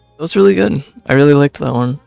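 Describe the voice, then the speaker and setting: A man's voice: fairly high pitch, lively intonation, fast talker, creaky, darkish tone, no echo, soft background music. A man streaming a video game, giving informal, offhand reactions in casual commentary.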